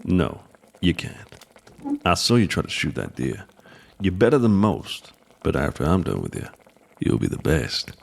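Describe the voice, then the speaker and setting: An actor playing a gruff older mountain man in an audio drama, a blunt, folksy combat mentor who teases dryly. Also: wildly swooping pitch, measured pace, heavily acted. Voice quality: deep, gravelly.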